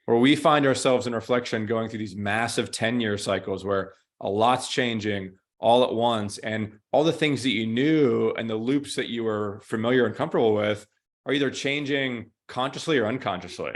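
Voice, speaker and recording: A man who hosts a podcast speaks with effusive enthusiasm. His pitch sits in the middle range for a man, and his conversation stays casual. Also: moderate pace. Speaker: podcast host.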